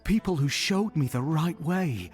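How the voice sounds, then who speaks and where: An actor playing a creepy, fawning, self-abasing scavenger peddler in a video game, speaking in a lingering, obsequious way. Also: male voice, fairly high pitch, animated, soft background music. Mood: self-abasing, dreamy. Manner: slow, lingering, obsequious, repeats words, chuckles. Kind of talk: video game character dialogue.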